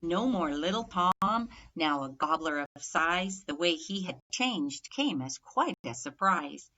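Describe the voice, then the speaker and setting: A woman reads a rhyming children's story aloud with clear emphasis on each rhyme. Her voice is slightly low and bright, and she takes her time over the lines. The narration is measured and expressive. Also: muffled recording. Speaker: storybook reader.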